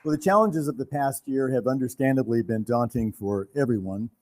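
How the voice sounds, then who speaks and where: A man giving a live thank-you speech as a company leader, earnest and measured, with pauses. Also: mid-range voice, heavy background noise. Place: theater, live before an audience.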